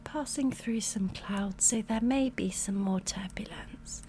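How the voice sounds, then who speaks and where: Slow, hushed woman in soft ASMR roleplay. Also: medium pitch, a little hiss or hum. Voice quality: soft, breathy whispering and hushed speech. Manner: gentle, slow, reassuring. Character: gentle, attentive female flight attendant soothing a passenger. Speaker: ASMR performer playing a flight attendant. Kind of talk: ASMR roleplay, personal attention.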